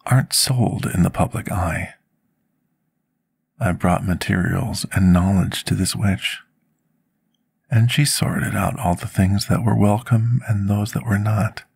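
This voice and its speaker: A man playing a brooding, formal-spoken potion master who tells his past in an intimate, measured ASMR roleplay monologue, with a gravelly, husky voice. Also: low voice, heavily acted. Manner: formal, archaic, measured storytelling diction.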